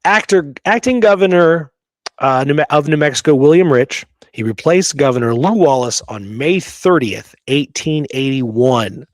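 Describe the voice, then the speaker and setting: A man with a mid-pitched voice talks history as a show's co-host. He is emphatic and conversational, breaking his delivery with halting asides. The sound is dry and close, with little room in it. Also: no room sound. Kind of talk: conversational history discussion with read-aloud documents.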